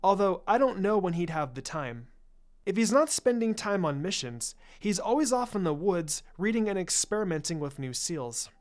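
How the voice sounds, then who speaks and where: A woman's voice: fairly low pitch, sing-song, normal speed, darkish tone. A woman voicing a polite, earnest young ninja girl with a formal, careful manner in a story.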